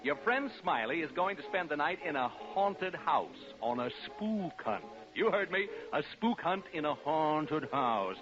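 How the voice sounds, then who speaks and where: A jaunty American disc jockey from a 1940s radio play, pitched high and full of showman's teasing. He chats chummily with theatrical pauses. The old recording is dull, with music playing behind him. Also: big pitch swings. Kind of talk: 1940s radio acting, late-night DJ patter.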